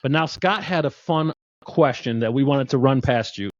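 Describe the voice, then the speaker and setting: A man's voice: medium pitch, moderate pace, no echo. A man hosting a podcast, chatting enthusiastically and joking with his guest, often restarting his sentences. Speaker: podcast host. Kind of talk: casual interview chat. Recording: dull dry lo-fi sound.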